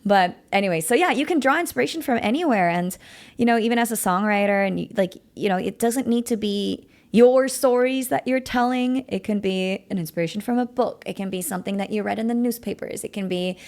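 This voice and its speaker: A young woman who speaks English as a second language after German, hosting a casual interview about songwriting in a chatty, animated way. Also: mid-range voice, a little fast. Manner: chatty, animated, often laughing. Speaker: podcast host.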